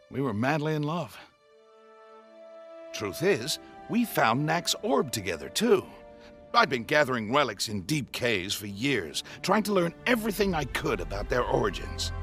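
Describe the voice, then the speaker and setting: Earnest, expressive older inventor. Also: male voice, medium pitch. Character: grieving older male inventor, earnest, still devoted to lost love. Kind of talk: cinematic video game dialogue, emotive storytelling.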